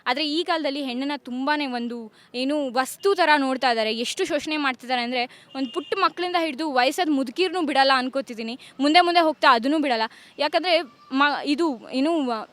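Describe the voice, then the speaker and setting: A woman giving an earnest informal speech as a contest participant, with halting starts and some English words mixed in. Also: high-pitched, a bit bright, dry recording.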